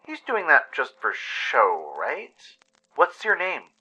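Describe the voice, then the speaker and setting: A man's voice: on the high side, wildly swooping pitch, takes it slowly, pushed and bright, slightly rough, muffled recording, voice pushed up, heavily acted. A man voicing an earnest, kind and anxious young pony in a radio play. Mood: gentle questioning.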